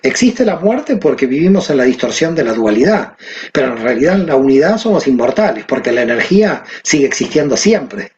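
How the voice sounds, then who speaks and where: Male guest teacher from Argentina, animated and expressive, on a muffled recording. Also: on the high side, brisk. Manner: animated, rhetorical questions. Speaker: guest, Kabbalah teacher and author. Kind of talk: conversational explanation.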